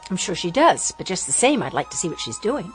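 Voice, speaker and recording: A woman's voice: on the low side, sing-song, a little fast, faint music behind, poor audio. A young woman in a radio drama speaking in a restless, probing, uneasy way. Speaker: daughter of the house.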